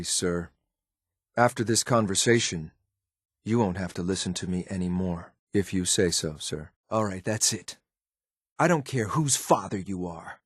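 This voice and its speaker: An actor playing a stern, loyal synthetic agent in a video game, speaking in a clipped, formal, deadpan military way. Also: male voice, low voice, no echo, studio quality. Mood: restrained frustration. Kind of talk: scripted game character lines.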